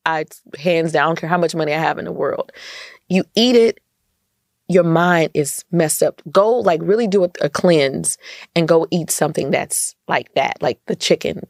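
An American woman speaks casually and emphatically as an interview guest. Her voice sits fairly low with a clear fry in it. She repeats phrases for stress, and the sound is dry and close. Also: moderate pace, no echo. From United States. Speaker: podcast guest, entrepreneur and community activist.

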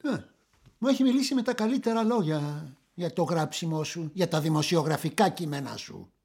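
An actor playing a friendly, smiling middle-aged male crime novelist in a radio play, encouraging and unhurried. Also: on the high side, lively intonation, creaky, a little mellow, husky, exaggerated voice. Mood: relaxed, encouraging. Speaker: established writer in a radio drama.

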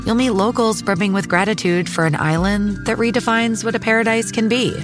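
A woman's voice: mid-range voice, fairly even intonation, a little fast. A woman reading a scripted tourism commercial as a voice-over. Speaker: advertisement voice-over. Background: music bed.

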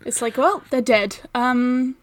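A woman's voice: on the high side, takes it slowly, dry recording. A woman chatting as a podcast guest in a playful, wry and enthusiastic way. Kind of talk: casual chat.

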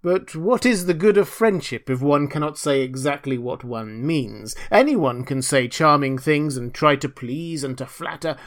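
A man voicing a pompous, self-righteous, hearty miller in a fairy tale, with an emphatic moralising delivery. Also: a little high.

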